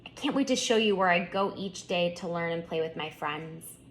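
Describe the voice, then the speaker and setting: A woman voicing an excitable, curious young schoolgirl in a storybook, eager and earnest. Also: on the low side, normal speed, a little hiss or hum, natural voice, poor audio. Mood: excited. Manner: eager, wide-eyed, earnest.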